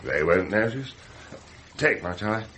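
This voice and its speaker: An actor playing a flippant, self-satisfied wealthy heir in a radio drama, chatty and offhandedly entitled. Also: male voice, on the low side, animated, a little slow, a bit bright, slight background noise. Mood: breezy, cynical.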